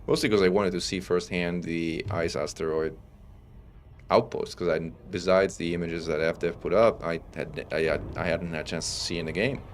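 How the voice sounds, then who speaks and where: A man's voice: low voice, normal speed. A man from Italy with a faint Italian accent, chatting casually while streaming a space game and reading viewers' messages. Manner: relaxed, chatty, reads chat aloud. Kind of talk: casual gameplay stream chat. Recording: steady background noise.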